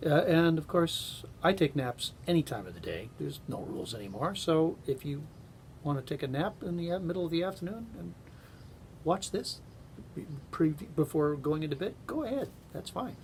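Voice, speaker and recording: A man reading aloud as a storyteller, with measured, gentle phrasing and pauses. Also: lively intonation. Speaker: actor reading a bedtime story. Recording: home recording with noticeable rumbling noise.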